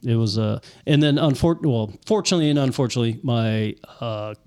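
A man's voice: medium pitch, a little fast, darkish tone, clear, resonant tone. A middle-aged man co-hosting a casual podcast, telling stories with lots of fillers and restarts. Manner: rambling, filler-heavy storytelling. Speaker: podcast co-host. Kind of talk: casual chat.

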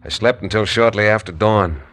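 An actor in a 1950s radio western playing a calm frontier lawyer who also narrates, measured and dry. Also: male voice, lowish voice, slight background noise, lightly acted. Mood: narrating.

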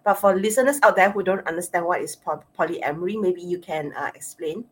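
A woman with a Singaporean accent hosts the interview, asking questions in a halting way with plenty of uhs and repeated words. Her voice is low and a little husky, and it sounds breathy around the edges. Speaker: interviewer, sexologist. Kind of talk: interview host.

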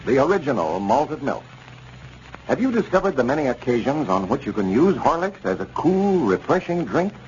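Animated 1930s American radio announcer, man. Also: medium pitch. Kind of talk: 1930s radio announcing, scripted sponsor narration. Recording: dull, noisy 1930s radio recording.

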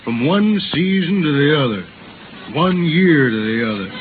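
A man's voice: on the high side, lively intonation, unhurried, soft background music. An actor playing a tough, plain-spoken frontiersman in a 1930s radio drama, with folksy Old West speech. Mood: humble, reflective. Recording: noisy, tinny 1930s radio recording.

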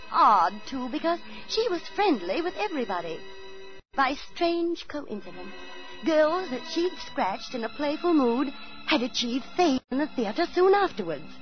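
Motherly landlady, expressive vintage radio voice. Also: sits high, dull audio. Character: motherly, watchful boarding-house landlady, devoted to her cat. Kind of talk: 1940s radio drama acting and narration. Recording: band-limited 1940s radio broadcast, music behind.

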